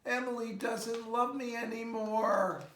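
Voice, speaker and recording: A man's voice: extremely high pitch, steady tone, takes it slowly, a bit bright, pitched above the performer's own voice, heavily acted. A man voicing a plaintive, wailing male pet cat in a children's storybook, in a roomy, echoing space. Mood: heartbroken.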